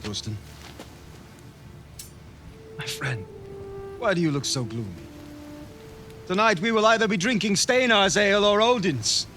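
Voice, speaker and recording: An actor playing a vengeful young Viking war leader in a video game, grave and oratorical in delivery. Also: male voice, high voice, lively intonation, bright, forceful tone, faint music behind, heavily acted. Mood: warm, bantering. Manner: slow, solemn, oratorical delivery. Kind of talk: dramatic cinematic game acting.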